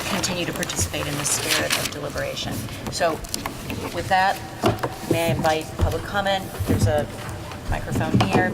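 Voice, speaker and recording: Board chair, formal and procedural, distant mic, loud room noise. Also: female voice, lowish voice. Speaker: board president chairing meeting. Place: large school meeting room. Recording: distant, very noisy room sound.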